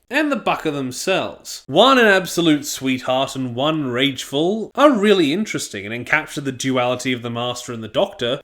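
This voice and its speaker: A man's voice: mid-range voice, a bit bright. An Australian man with an Australian accent, narrating a scripted review.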